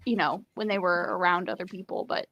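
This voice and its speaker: A woman's voice: on the low side, a little fast, fairly bright tone, no room sound, highs cut off. A woman co-hosting a casual book discussion on a live stream, giving blunt, informal opinions. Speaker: co-host of a book readalong live show.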